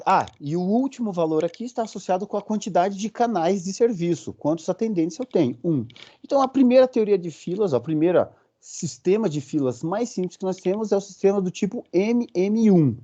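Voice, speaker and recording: A man's voice: high voice, expressive, moderate pace, dark tone, dull audio. A man with a Brazilian accent giving a recorded university lecture. He explains step by step and often checks in with the class using short fillers. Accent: Brazilian Portuguese.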